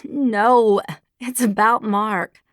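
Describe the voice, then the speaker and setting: A woman's voice: a little high, steady tone, drawn-out words, no echo, studio quality, voice pushed up. A woman voicing a bossy, teasing young woman friend on the phone in an audiobook. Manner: teasing, pushy.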